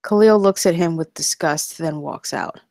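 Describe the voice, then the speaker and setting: A woman with a notably low voice reads screenplay action lines. It is scripted narration for a table read. The sound is dry, with little room around it. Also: moderate pace, a little mellow, no echo. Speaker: stage directions narrator.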